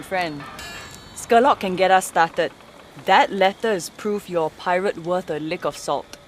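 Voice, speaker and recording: A woman's voice: mid-range voice, lightly acted. An actress playing a sly, spirited pirate first mate in a video game, teasing and theatrical, with some noise behind her. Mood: sly, inviting. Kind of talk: video game dialogue acting.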